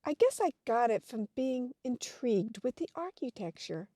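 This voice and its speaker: Casting: actress for inquisitive lady reporter, high and very melodic, formal, theatrical, audio drama. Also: big pitch swings, normal speed, warm tone, no room sound, studio quality. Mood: entranced, uneasy. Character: inquisitive, dreamy, headstrong lady magazine reporter.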